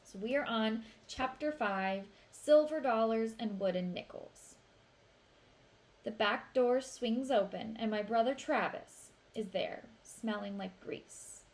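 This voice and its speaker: A woman reading a children's novel aloud in an expressive storytelling style. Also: a little high, unhurried. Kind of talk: expressive read-aloud narration.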